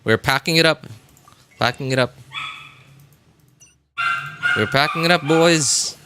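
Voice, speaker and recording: A man chatting casually as he streams a game, rambling and halting as he hunts for words in a self-deprecating way. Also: on the high side, background noise. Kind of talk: casual livestream chat while gaming.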